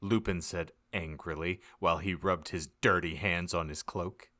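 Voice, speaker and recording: A man giving a mock-dramatic, theatrical narration of a comedic audiobook, with deliberate emphasis. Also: deep voice, steady tone, a bit bright. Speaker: podcast narrator.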